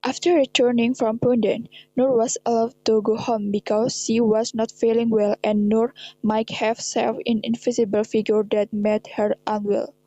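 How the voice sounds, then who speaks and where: A woman's voice: a little high, little pitch movement, moderate pace, warm tone, no room sound, muffled recording. A young woman with an Indonesian accent reading scripted horror drama narration in non-native English. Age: young adult.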